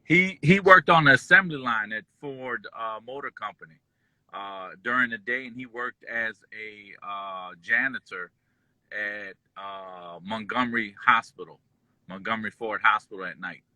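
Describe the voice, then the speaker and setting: A man born in Kuwait and raised in Detroit, telling his life story as an interview guest in a relaxed, rambling way full of fillers. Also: fairly low pitch, unhurried, no echo, poor audio. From born in Kuwait, raised in Detroit and New Orleans. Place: at home, just pulled into driveway.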